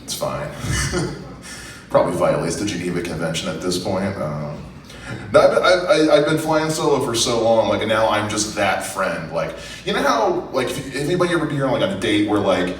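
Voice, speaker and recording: A man's voice: lowish voice, very animated, moderate pace. A man of thirty doing a self-deprecating stand-up set on a comedy club stage, rambling with fillers and restarts. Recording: roomy, distant, faint noise.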